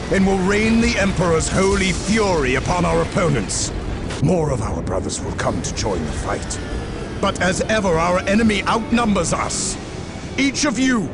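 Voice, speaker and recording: An actor playing a stern, zealous space marine captain in a video game, speaking in grand, commanding oratory. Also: male voice, sits high, gravelly, full character voice. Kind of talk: video game cutscene battle speech.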